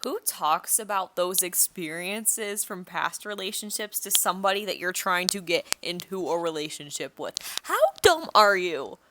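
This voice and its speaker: A woman's voice: fairly low pitch, animated, moderate pace, few pauses, noisy background. A young adult woman chatting casually as she tells a personal story, acting out bits of past conversations with exasperated asides. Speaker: storytime video creator.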